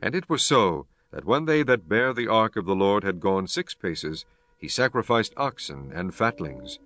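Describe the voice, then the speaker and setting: A man narrating scripture for an audiobook in a solemn, formal, measured style. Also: on the low side, very animated, a bit bright. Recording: music behind the voice.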